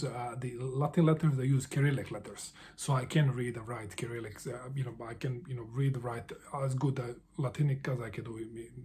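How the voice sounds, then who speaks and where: Guy answering a book tag, kinda husky and mellow, lots of stops and restarts. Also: medium pitch. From Bosnia. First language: Bosnian. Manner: halting, with frequent restarts and repeated words. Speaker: book vlogger.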